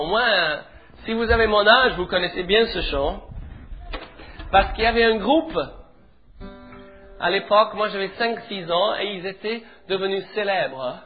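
English-accented man preaching, high voice. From England. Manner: animated preaching, leads the congregation. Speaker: pastor. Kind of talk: sermon in church. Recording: tinny phone-like sound with faint music.

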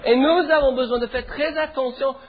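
A middle-aged man from England, a pastor preaching a sermon in French with an English accent, leading the congregation in animated declarations. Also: very high-pitched, normal speed. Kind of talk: sermon in church. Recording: tinny phone-like sound with faint music.

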